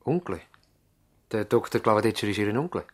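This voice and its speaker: An actor playing an earnest, courteous doctor at a village practice in a radio play, with a Swiss German accent. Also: male voice, fairly low pitch, lively intonation, brisk. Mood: curious, courteous. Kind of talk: radio play acting, dialogue and dictation. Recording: 1970s radio drama recording.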